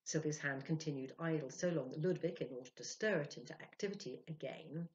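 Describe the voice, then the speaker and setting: Older female storyteller, lowish voice, lively dramatic narration, lo-fi sound. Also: a little fast. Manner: theatrical, expressive. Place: indoor room, plain walls. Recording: dull, lo-fi sound.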